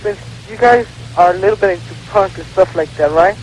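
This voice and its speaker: A man's voice: high voice, fairly quick. A young man with an American accent calling a radio show, talking casually and hesitantly over the phone. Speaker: phone-in caller. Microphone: telephone. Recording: phone call on noisy radio broadcast.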